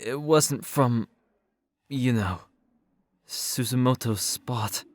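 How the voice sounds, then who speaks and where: A man's voice: mid-range voice, extremely slow, no room sound. A man playing an anxious, earnest teenage schoolboy in an anime game fan dub, hesitant and prone to outbursts. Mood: hesitant, puzzled.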